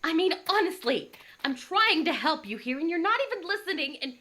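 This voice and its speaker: A woman playing a bossy, hot-tempered young bodyguard in a visual novel, with a sharp, scolding manner. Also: extremely high pitch, animated, brisk, heavily acted. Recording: music behind the voice.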